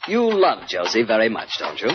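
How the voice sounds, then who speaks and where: Smooth scheming blackmailer in old radio drama. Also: male voice, lowish voice, wildly swooping pitch, normal speed. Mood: sly, pressing. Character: smooth, scheming male blackmailer, cornered and wheedling. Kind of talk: 1950s radio drama acting. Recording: muffled old radio broadcast, faint noise.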